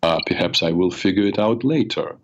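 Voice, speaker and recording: An older man hosting a radio talk show, raspy from a cold, telling personal stories in a rambling way with many fillers. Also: low voice, a little fast, dull audio. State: has a cold. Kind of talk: solo talk show monologue.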